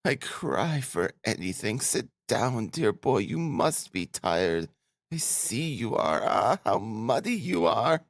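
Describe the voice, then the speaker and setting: An elderly mother from a storybook, acted out by a man, doting and easily flustered. The voice is lifted high and gushes on with tearful fondness, in a dry, close recording. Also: big pitch swings, takes it slowly, no room sound, full character voice. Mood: tearful joy. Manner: gushing, effusive, rambling. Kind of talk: audiobook character acting.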